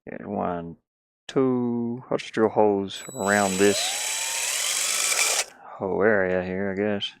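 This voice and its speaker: Low gravelly man muttering through a task. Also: steady tone, slow, long pauses, heavy background noise. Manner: muttering, meandering, talking through each step to viewers. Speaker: live streamer doing a DIY build. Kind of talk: casual chat while working, thinking aloud.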